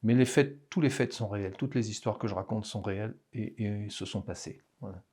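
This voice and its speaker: A man in his sixties with a Parisian French accent, an author talking about his writing in a hesitant, halting way in a casual interview. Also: fairly low pitch, darkish tone, slightly rough. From France. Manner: hesitant, halting, many restarts.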